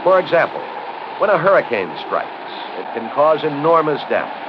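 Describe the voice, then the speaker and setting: A man's voice: on the high side, very animated, moderate pace, background noise. An American man delivering scripted narration for an educational film. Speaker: documentary narrator. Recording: dull 1970s 16mm film soundtrack with music. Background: background music.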